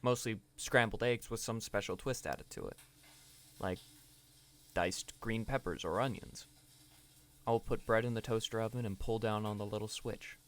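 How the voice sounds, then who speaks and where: A man playing a young podcaster narrating a dreamy, brooding story reflectively, with deliberate dramatic pauses. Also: on the low side, fairly even intonation, lightly acted. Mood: musing. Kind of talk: scripted first-person narration.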